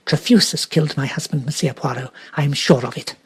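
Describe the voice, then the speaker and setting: A man's voice: fairly high pitch, a little fast, slightly rough. A man doing an emphatic old lady. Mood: insistent. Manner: emphatic, insistent. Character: forthright elderly English lady, emphatic and stubborn.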